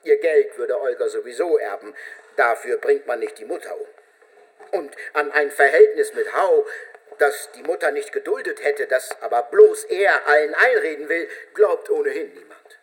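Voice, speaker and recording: A man narrating a radio drama from a script in a measured, literary storytelling manner. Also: on the high side.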